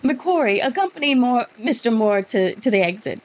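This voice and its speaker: A woman's voice: on the high side, animated, fairly quick, close to an everyday voice. A woman putting on a scornful Scottish police officer. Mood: dismissive. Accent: Scottish, put on. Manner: curt, scornful, brushes off the witness. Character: skeptical dismissive male Scottish police officer. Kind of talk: reading game dialogue aloud.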